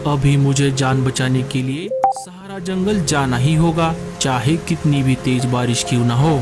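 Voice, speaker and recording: A man's voice: medium pitch, measured pace, over loud music, close to an everyday voice. A man voicing an earnest, fretful male forest bird in a cartoon, exclaiming and thinking aloud. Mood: worried. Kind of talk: Hindi cartoon dialogue acting.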